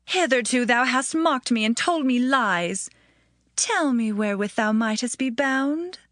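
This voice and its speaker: A woman's voice: on the high side, lively intonation, measured pace, a bit bright, no room sound, studio quality. An actress playing a seductive, scheming woman in a dramatized audio Bible, with pointed theatrical delivery. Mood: taunting. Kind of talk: dramatized audio Bible acting in archaic English.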